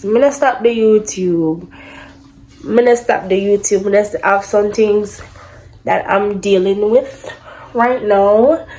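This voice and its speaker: A woman's voice: mid-range voice, darkish tone, poor audio. A young woman from Jamaica, chatting bluntly into her phone for her vlog and swearing freely. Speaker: vlogger. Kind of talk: casual vlog and video-chat banter.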